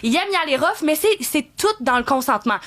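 A woman with a Québécois accent, a guest columnist telling a true crime story in casual, animated talk-show style. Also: high voice, fast talker. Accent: Québécois French.

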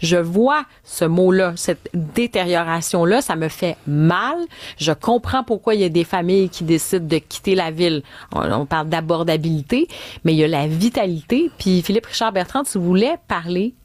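Animated Québécois woman radio host. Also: lowish voice, moderate pace, dry recording. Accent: Québécois French. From Montreal. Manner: animated, emotional, uses Québécois slang. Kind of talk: casual talk radio chat.

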